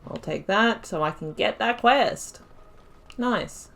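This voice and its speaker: A woman's voice: medium pitch, lively intonation, slow, vocal fry, fairly bright tone. Chatty, rambling woman doing casual gameplay commentary. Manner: chatty, rambling, self-deprecating, jokey. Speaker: video game streamer, playthrough host.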